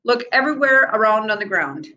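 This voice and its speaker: A woman voicing curious, eager young boys in a children's storybook, with an excited, questioning manner. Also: a little high, fairly quick, lo-fi sound, pitched above the performer's own voice.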